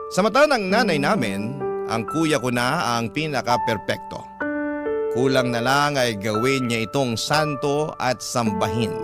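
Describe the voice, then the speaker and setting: Filipino male storyteller over loud music. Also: medium pitch. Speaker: radio storyteller reading a listener's letter. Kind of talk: dramatic scripted narration. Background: loud music bed.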